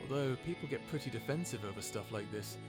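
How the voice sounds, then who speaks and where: A sarcastic, grumbling scientist acted by a man talks in a casual, exasperated way, as in an audio roleplay. His voice sits at a middle pitch. Music plays clearly underneath. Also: quick pace, natural voice. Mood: wry. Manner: sarcastic, informal, exasperated, sighing. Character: wry, grumbling human scientist, reluctant companion of a robot. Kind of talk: audio roleplay drama, conversational acting.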